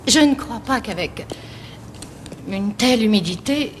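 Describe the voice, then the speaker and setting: A woman's voice: on the high side, lively intonation, unhurried, slight background noise. A female actor playing a hesitant, suspicious prospective tenant in French-dubbed film dialogue, with a nitpicking, wavering manner. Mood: fussy, suspicious.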